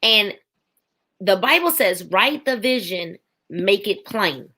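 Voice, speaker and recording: A woman's voice: mid-range voice, expressive, slow pace, bright, forceful tone, husky. A woman in her forties giving a faith testimony as a podcast guest, emphatic, with a preaching rhythm and repeated phrases. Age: 40s.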